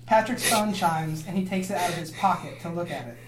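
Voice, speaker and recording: A woman's voice: on the low side, fairly quick, a crowd in the background. A woman reading script stage directions aloud in an amateur dramatic reading, with mock-serious narration. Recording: roomy echo, others laughing behind.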